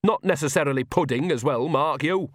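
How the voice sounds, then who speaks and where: A man voicing a gruff, stubborn older village witch, blunt and grudgingly kind, in an audiobook. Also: a little high, fairly quick, no room sound, clean studio sound, voice pushed up, close to an everyday voice. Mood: stiff, grudging. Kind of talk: audiobook character dialogue.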